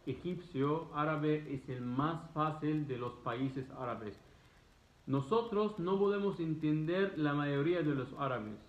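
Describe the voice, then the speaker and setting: A man in his thirties with an Egyptian accent, a native Arabic speaker, talking casually to camera in emphatic, halting, repetitive phrases. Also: a little high, a little hiss or hum. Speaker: vlogger answering students' questions. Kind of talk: casual explanatory talk to camera. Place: living room, indoors. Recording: roomy echo, dull muffled sound.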